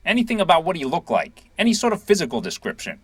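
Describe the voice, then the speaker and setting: An actor playing a brisk police sergeant with an insistent, official manner in an audio drama. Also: male voice, fairly high pitch, huge pitch swings, fairly bright tone, slightly rough, no room sound, full character voice. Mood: pressing. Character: brisk male police sergeant questioning witnesses.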